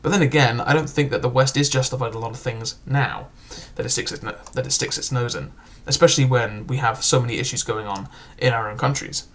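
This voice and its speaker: A man's voice: mid-range voice, fast talker, vocal fry, hardly any pauses, fairly bright tone. A young man casually reviewing a book, chatty and loose, with frequent filler words and restarts.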